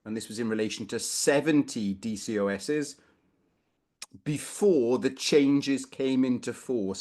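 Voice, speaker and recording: A middle-aged man from Wales giving a conversational legal lecture over a video call, informal with bursts of emphasis. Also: mid-range voice. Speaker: barrister presenting a legal webinar. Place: small room indoors, video call.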